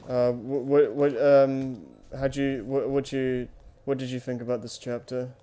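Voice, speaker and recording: Male podcast host, slow and smooth, hesitant, informal delivery. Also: medium pitch, level tone, dark tone, soft background music. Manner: hesitant, halting, searching for words. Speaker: podcast host leading discussion. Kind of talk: casual chat.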